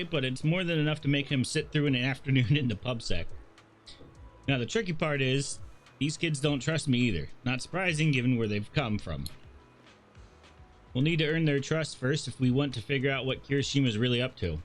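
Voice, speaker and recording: A man's voice: mid-range voice, brisk, natural voice. Guy reading as a cool sly young dude in a game, casual and knowing, music underneath. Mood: sly, explanatory. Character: easygoing, sly young man with cool confidence.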